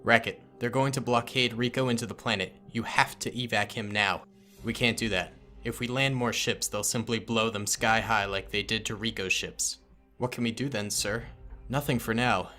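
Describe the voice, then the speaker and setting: A man's voice: fairly low pitch, fairly even intonation, normal speed, pushed and bright, faint music behind. A man narrating a fan-made war story and voicing several soldiers in dialogue. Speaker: narrator and voice of most characters. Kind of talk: fan-made cutscene narration with character voices.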